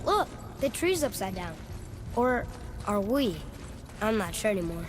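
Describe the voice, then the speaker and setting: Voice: medium pitch, sing-song, a little slow. A young performer playing an earnest, hopeful and curious boy in a video game, speaking with youthful sincerity. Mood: puzzled. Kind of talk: video game cinematic acting. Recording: long echoing hall, noisy background.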